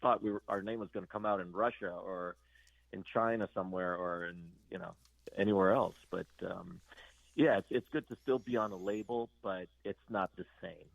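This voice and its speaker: A man guesting on a radio interview, talking about his band in a halting way, full of fillers and restarts. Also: deep voice, steady tone, creaky, no room sound, muffled recording. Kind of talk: casual interview chat.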